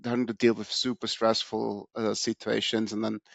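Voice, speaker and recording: A middle-aged man from Austria, a podcast guest telling his career story in a relaxed way, with many fillers and restarts. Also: lowish voice, moderate pace, gravelly, no echo. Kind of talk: casual interview storytelling.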